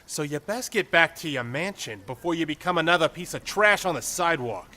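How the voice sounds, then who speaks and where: Audiobook street tough, played by male narrator: sardonic hooligan boss, taunting, sharp forceful tone, lively inflection. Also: fairly high pitch, brisk, pitched above the performer's own voice. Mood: defiant.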